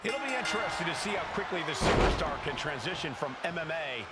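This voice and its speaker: A man's voice: on the high side, big pitch swings, fast talker, a loud crowd. A man doing wrestling play-by-play with an earnest, hyping delivery. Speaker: wrestling commentator. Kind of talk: play-by-play commentary. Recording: game commentary over loud arena noise.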